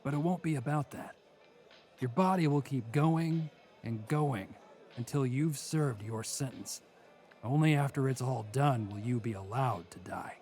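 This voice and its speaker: A man playing a world-weary, menacing prison inmate in an audio drama sketch, speaking in a deliberate, scornful, taunting way. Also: mid-range voice, darkish tone, faint music behind, lightly acted. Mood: grim, menacing. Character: mocking, world-weary male prison bunk mate, menacing.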